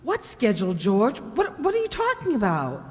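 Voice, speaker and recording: An excitable, loyal female assistant to a radio detective, played by an actress. Her high voice swings widely as she questions things dramatically. The sound has a long hall reverb. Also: moderate pace. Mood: puzzled. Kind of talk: old-time radio detective drama acting.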